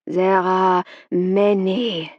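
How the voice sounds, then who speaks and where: A woman's voice: lowish voice, little pitch movement, no room sound, lo-fi sound. Woman doing a growling foreign spirit guide. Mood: solemn. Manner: slow, solemn pronouncements.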